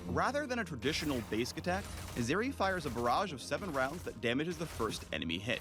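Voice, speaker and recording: Man, scripted game promo narrator, polished announcer, lively intonation, clear music underneath. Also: on the high side, fast talker. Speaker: champion spotlight narrator.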